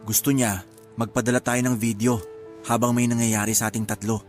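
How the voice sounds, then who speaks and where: A man's voice: medium pitch, little pitch movement, fairly quick, close to an everyday voice. A desperate husband and father , played by a man in a radio drama. His mid-range voice is coaxing and shameless as he pleads his case over faint music. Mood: hesitant, coaxing. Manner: pleading, persuasive. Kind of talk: Filipino radio drama acting.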